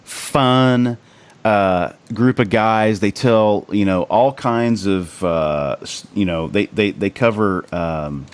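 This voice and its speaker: An American man with a lowish voice, talking as a podcast co-host. His delivery is loose and conversational, often doubling back on words as he goes. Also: slow, clear, resonant tone. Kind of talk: casual chat, profane.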